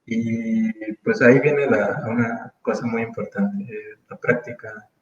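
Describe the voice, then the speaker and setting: A man's voice: fairly low pitch, steady tone, slow, dull audio, a little hiss or hum. Hesitant man on a roomy call. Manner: thoughtful, hesitant, many fillers and restarts. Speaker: interview guest. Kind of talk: casual conversational interview.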